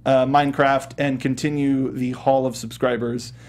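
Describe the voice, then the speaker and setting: A man's voice: mid-range voice, flat delivery, normal speed, creaky. A man hosting a casual gaming show from a studio, talking in a rambling, hesitant, enthusiastic way. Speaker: show host.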